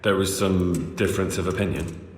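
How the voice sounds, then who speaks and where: A man's voice: deep voice, steady tone, normal speed, husky. An actor playing an earnest young man in a video game, questioning people in a direct, probing way. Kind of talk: video game cutscene acting.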